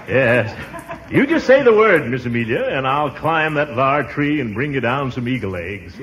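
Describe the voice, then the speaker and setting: An actor playing a pompous, blustery middle-aged uncle in 1940s radio comedy style. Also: male voice, on the high side, heavily acted. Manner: blustery, theatrical delivery. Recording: noisy vintage 1940s radio recording.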